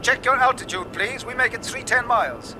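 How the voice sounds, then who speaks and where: An actor playing a formal, polite mission control operator, measured in his radio procedure, heard over a distant radio link in a 1950s drama. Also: very high voice. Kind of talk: 1950s radio science fiction acting. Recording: noisy, distant vintage radio sound.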